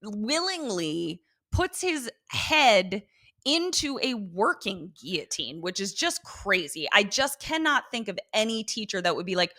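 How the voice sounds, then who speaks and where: A woman's voice: medium pitch, big pitch swings, unhurried, pushed and bright, no room sound, studio quality. A woman co-hosting a podcast, chatting casually through a TV recap, irreverent and free with swearing. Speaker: podcast co-host. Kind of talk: casual TV episode recap chat.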